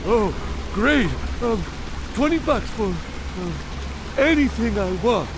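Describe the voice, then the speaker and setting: Awkward, nervous male punter, slow and stammering, noisy wet game soundscape. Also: high-pitched, lively intonation, heavily acted. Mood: nervous, eager. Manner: halting, stammering. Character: nervous, hesitant male customer, awkwardly eager. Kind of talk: video game acting.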